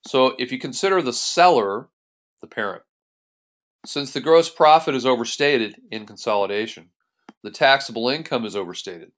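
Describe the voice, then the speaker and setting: A man's voice: mid-range voice, lively intonation, normal speed, a bit bright. A man working as an accounting instructor, narrating a tutorial in a methodical, step-by-step way. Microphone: voice-over on a screen recording.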